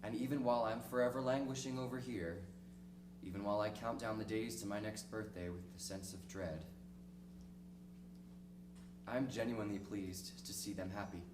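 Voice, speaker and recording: A man acting a lonely, cynical middle-aged diarist in a dramatic stage reading, speaking reflectively and ruefully with halting pauses. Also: fairly low pitch, fairly even intonation, close to an everyday voice. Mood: nostalgic, rueful. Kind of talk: dramatic monologue in a one-act play reading. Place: small theater stage, recorded from the audience distance. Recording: distant, echoey stage recording with noisy hum.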